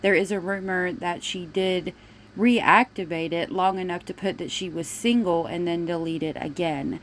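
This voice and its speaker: A woman's voice: lowish voice, fairly even intonation, moderate pace, a little hiss or hum, rough recording. A woman hosting a true-crime video, giving informal commentary on a case.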